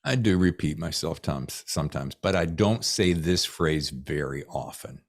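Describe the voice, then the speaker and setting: A man's voice: a bass voice, lively intonation, a little slow. A man hosting a podcast interview in an effusive, showy, emphatic conversational style. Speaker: podcast host.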